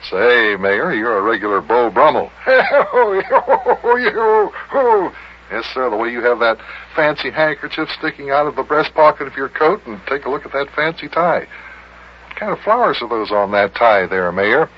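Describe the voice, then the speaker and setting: A man's voice: mid-range voice, big pitch swings, a little slow. A man acting a good-natured, patient guardian in an old-time radio children's show, folksy and full of exclamations. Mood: friendly. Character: good-natured, scolding grown-up guardian of a boy. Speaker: host and lead actor of children's radio show. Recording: muffled, hissy vintage radio recording.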